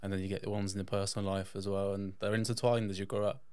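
A man's voice: fairly low pitch, little pitch movement, fast, darkish tone, clean tone, dry recording. A man from England, a podcast guest telling personal stories in a casual, conversational way. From England, born in Yorkshire, raised in south-west England. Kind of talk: casual personal storytelling in an interview.

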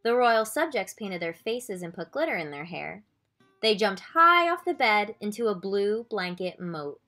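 Woman, storybook narrator, very varied intonation, deliberate emphasis, soft music in the background. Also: mid-range voice, very animated. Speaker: children's picture book reader. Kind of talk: expressive storybook read-aloud.

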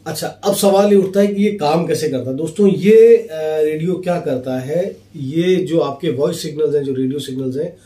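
A man's voice: a little high, normal speed, few pauses, mellow. A man, giving a casual, explanatory product demonstration and slipping in English phrases. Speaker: product reviewer and seller. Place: small indoor office. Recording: roomy echo, faint background noise.

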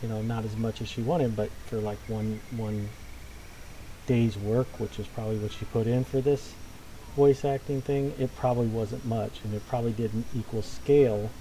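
A man's voice: on the low side, steady tone, moderate pace, warm tone, slight background noise, low-quality recording. A man giving casual, unscripted gaming commentary in a rambling, hesitant way. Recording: faint game ambience behind.

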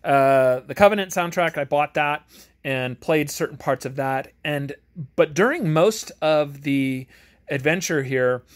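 A man giving a casual, unscripted game review in a halting way, searching for words as he goes. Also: fairly high pitch. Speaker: tabletop game reviewer and game master. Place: home garage.

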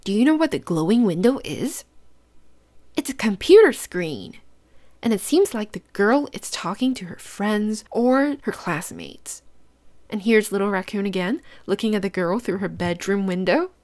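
A woman's voice: mid-range voice, animated, moderate pace, a little mellow. A woman hosting a children's read-aloud, using simple instructive phrasing and putting questions to young listeners. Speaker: host reading picture books to children.

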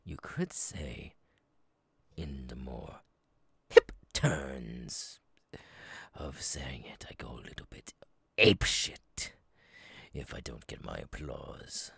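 A menacing, unhinged clown monster for horror audio roleplay. The voice is gravelly and extremely slow, swinging wildly from low rasping whispers up to shrill cackles. It sounds theatrical and gleeful in its threat. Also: male voice, big pitch swings, a bit bright, no echo, clean studio sound, heavily acted. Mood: unhinged, simmering. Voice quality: raspy, gravelly, breathy whispers. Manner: drawn-out, lurching between whisper and cackle.

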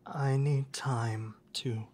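Acted reserved young kuudere classmate, lovesick, soft near-whisper, halting formal speech, very unhurried, ASMR roleplay, light noise. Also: medium pitch, fairly even intonation. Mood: resigned, restrained. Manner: hesitant, formal wording, sighing, often near whisper.

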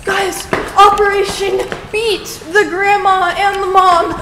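A young teenage girl, about thirteen, chatting casually and excitably as a vlogger into a handheld camera. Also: very high voice, slow. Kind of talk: casual vlog chat. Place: busy airport terminal, later a car. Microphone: handheld camera at arm's length. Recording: noisy handheld camera audio, bustling airport.

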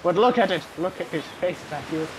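A man's voice: sits high, animated, fairly quick. A young man hosting a video game session, chatting casually, swearing and laughing. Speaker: server host running game commands. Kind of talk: casual gaming chat. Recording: noisy game audio.

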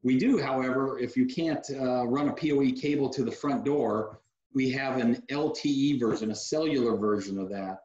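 A man's voice: medium pitch, moderate pace, muffled recording. Man explaining tech over an echoey video call. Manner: relaxed, practical, sales-savvy explainer, many uh fillers. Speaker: security technology company guest. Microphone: video call webcam mic.

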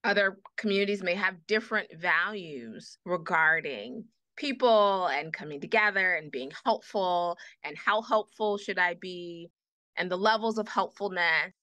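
Bright-voiced earnest woman podcast guest. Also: lowish voice, measured pace, no echo. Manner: passionate, repeats phrases for emphasis. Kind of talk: earnest advocacy in conversation.

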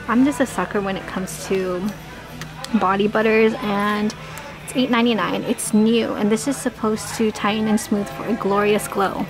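A woman's voice: mid-range voice, fairly even intonation, a little slow, music in the background, low-quality recording. A young woman vlogger chatting casually about beauty products she bought, upbeat and gushing, recorded at her desk at home. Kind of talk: casual haul chat. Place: home filming room with vanity and desk.

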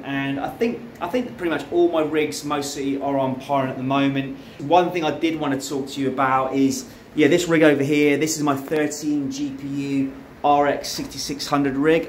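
An Australian man with a mid-range voice talks loosely and chattily, often stopping to restart his sentences. He sounds like he is walking a camera through a cluttered room. The space is echoey, and a faint machine hum sits underneath. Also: medium pitch. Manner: relaxed, chatty, frequent fillers and restarts. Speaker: crypto mining channel host. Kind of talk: casual walkthrough vlog. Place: home room full of mining rigs, indoors.

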